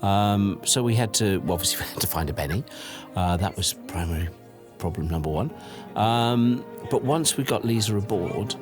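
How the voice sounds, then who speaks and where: A man's voice: lowish voice, lively intonation, normal speed, over music. A middle-aged man recalling past events casually in an interview, telling anecdotes and acting out bits of conversation. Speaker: audio drama producer. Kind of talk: casual documentary interview recollection.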